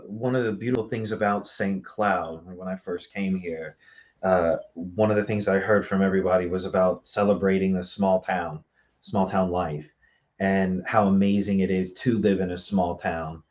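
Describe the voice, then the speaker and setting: A man's voice: low voice, little pitch movement, moderate pace. A man talking casually as a performer being interviewed, recorded on dull lo-fi live stream audio. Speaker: magician and theater guest.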